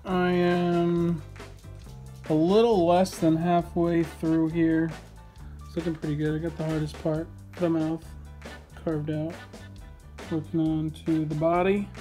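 A man's voice: a little high, little pitch movement, unhurried, pauses often, dark tone. A young man hosting a vlog, chatting casually and joking easily while at home. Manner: joking, easygoing banter. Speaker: vlog host. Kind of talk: casual chat. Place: home living room, indoors. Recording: background music under the voice.

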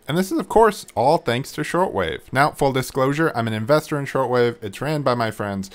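A man hosting a DIY tech video, narrating casually with informal, wry, self-deprecating humor. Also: mid-range voice. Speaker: host of a DIY tech project channel.

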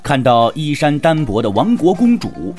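A male narrator tells a wuxia story in a slightly high, hugely varied voice. It is scripted dramatic storytelling over faint music. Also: sing-song, normal speed, a little mellow.

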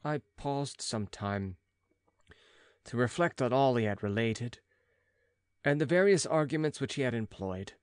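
A male audiobook narrator reads classic gothic fiction. His voice sits at a middle pitch, and his delivery is measured and dramatic. The recording is dry, with very little room sound. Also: lively intonation, clean tone, no room sound.

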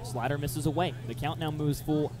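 A man's voice: a little high, steady tone, normal speed, background noise. A man calling live baseball play-by-play for radio, mixing clipped phrases with detailed description.

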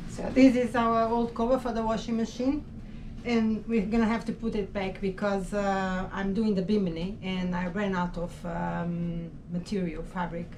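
A woman in her fifties chatting casually in a vlog, often pausing to find the right word. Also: mid-range voice, level tone, far from the mic, background noise. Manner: hesitant, searches for words. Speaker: sailing vlogger. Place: catamaran saloon, indoors.

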